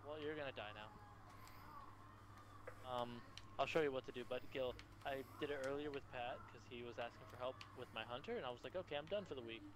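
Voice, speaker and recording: A young man chatting in a gaming party, animated and quick to laugh. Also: medium pitch. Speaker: player in party chat. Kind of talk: casual gaming chat. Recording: noisy voice-chat line.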